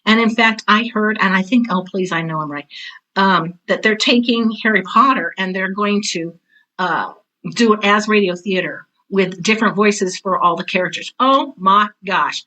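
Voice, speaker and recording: Woman, 60s, forward bright tone, emphatic and chatty, interview guest telling anecdotes. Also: medium pitch, normal speed, low-quality recording. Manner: chatty, emphatic, often quotes herself and others. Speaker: guest, veteran theater teacher and author. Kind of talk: animated conversational interview, anecdotes.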